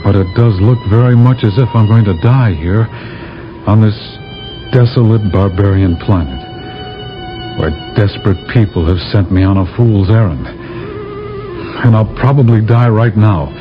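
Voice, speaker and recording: A man's voice: fairly low pitch, normal speed, lo-fi sound, close to an everyday voice. An actor playing a stranded male Earth astronaut, nervous but stubborn, who hesitates and stammers under pressure, in a 1970s radio drama. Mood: brooding. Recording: old radio broadcast with music.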